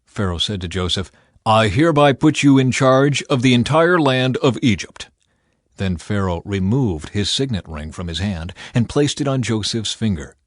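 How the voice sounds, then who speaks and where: A man's voice: medium pitch, no room sound. Man narrating scripture, very dry close sound. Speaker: audiobook narrator.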